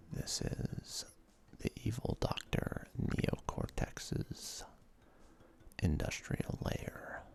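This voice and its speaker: A person whispering laid-back, halting commentary over a video game in an ASMR style, as the host of a gaming video. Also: mid-range voice, creaky.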